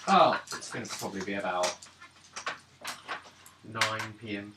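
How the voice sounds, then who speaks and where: A man acting as game master, improvising the narration in a halting, casual way, with swearing and throat clearing. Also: lowish voice. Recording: roomy, distant mic, faint noise.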